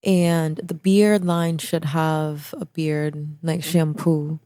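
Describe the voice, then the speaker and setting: A young woman speaking as an interview guest about her business, animated and casual, often restarting her phrases. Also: sits low, takes it slowly, no room sound, clean studio sound. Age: young adult.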